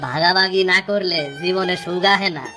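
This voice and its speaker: Woman voicing a lowish, wise village gentleman. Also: natural voice. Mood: moralising. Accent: eastern Bengali rural dialect. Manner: gently moralising, deliberate. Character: benevolent, wise village elder man. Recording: loud music behind the voice.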